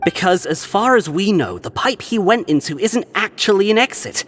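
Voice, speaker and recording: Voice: mid-range voice, animated, moderate pace, fairly bright tone, faint music behind. An actor playing a brusque, dismissive but loyal prison gang member in a video game. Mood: resigned, explanatory.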